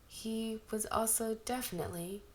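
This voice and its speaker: A woman's voice: a little high, normal speed. A woman narrating a piece of fan fiction as an amateur reader, occasionally stumbling over the text.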